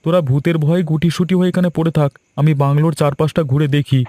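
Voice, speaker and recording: Man playing a high-pitched, bold young man. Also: steady tone, fast talker, warm tone, no echo, studio quality, close to an everyday voice. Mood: scoffing. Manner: eager, scoffing. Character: bold, adventurous young man, dismissive of ghosts. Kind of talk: animated horror story acting.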